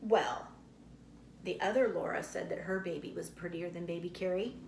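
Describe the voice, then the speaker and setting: A woman's voice: on the low side, normal speed, creaky, darkish tone. A woman reading a children's book aloud expressively and unhurriedly, like a teacher explaining to young listeners. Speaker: read-aloud storyteller, teacher. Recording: roomy echo, faint background noise.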